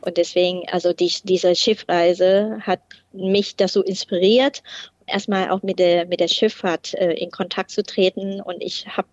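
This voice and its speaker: A woman's voice: lowish voice, fairly even intonation, unhurried, no echo. Middle-aged woman, interview guest; hesitant and full of fillers, dry close sound, slightly muffled. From Thailand. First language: Thai. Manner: hesitant, many fillers and restarts. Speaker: guest, port process manager. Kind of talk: interview answers.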